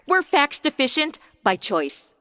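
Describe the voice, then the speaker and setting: A woman's voice: sits high, unhurried, dry recording, studio quality, heavily acted. A woman playing a snarky flippant young woman. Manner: snarky deadpan quips. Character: flippant modern young woman, sarcastic, gleefully dark. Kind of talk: comedic audio drama acting.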